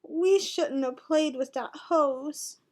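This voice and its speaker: A woman voicing excitable, cheeky little bunny children from a picture book, in a dramatic, childlike way. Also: high-pitched, takes it slowly. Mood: whiny, miserable.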